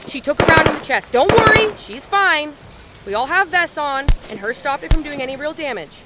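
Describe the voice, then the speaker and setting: A woman's voice: high-pitched, animated, fairly quick. A woman playing a caring, reassuring survivor in a zombie audio drama. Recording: very noisy, gunfire and vehicles behind.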